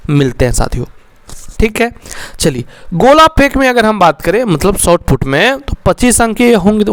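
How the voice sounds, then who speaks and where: A man's voice: a little high, no echo. A man with a Bihari accent giving an instructional, motivational exam-preparation explainer as a coach, often checking in with his listeners. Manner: addresses listeners as companions, with frequent check-in tags.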